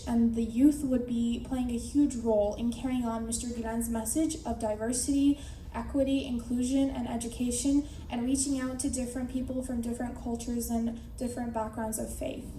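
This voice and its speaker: A woman's voice: on the high side, mellow, a little hiss or hum. A young woman giving an earnest, reflective tribute speech at a memorial gathering. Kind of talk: prepared personal tribute speech. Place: large hall at an evening gathering. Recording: echoey hall from far off.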